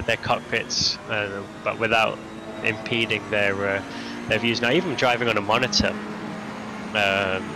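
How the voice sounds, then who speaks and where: A man giving casual, halting live commentary on a sim race for a charity stream, full of restarts and fillers. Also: on the low side, noisy background. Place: lives in Denmark.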